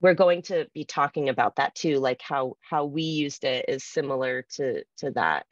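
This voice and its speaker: A woman with a low voice leads an informal online workshop. She talks in a halting, think-aloud way as she reads out responses. The sound is close and dry, slightly dulled by the video call. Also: expressive, no room sound, muffled recording. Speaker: presenter. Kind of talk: informal conference workshop talk, reading out poll responses. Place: video call from a room with bookshelves. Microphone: computer mic on video call.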